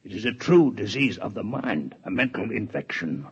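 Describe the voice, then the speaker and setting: Deep formal alien doctor, very precise, old radio drama. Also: male voice, very animated, breathy edge, exaggerated voice. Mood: grave. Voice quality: measured, formal, precise diction. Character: grave alien male scientist, formal, proud. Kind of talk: 1950s radio drama acting. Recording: dull vintage radio recording.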